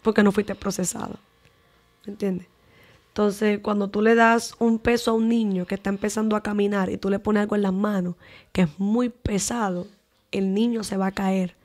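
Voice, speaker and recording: A woman's voice: medium pitch, a little mellow. A young Dominican woman with a Dominican Spanish accent, an evangelist guest giving long, talkative answers in a podcast interview. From Dominican Republic. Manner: talkative, lengthy explanations.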